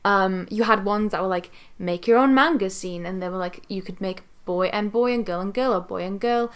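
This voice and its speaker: A woman's voice: mid-range voice, moderate pace, clean tone. A young adult woman chats casually as a podcast co-host. She is emphatic and swears freely, with a mid-range voice.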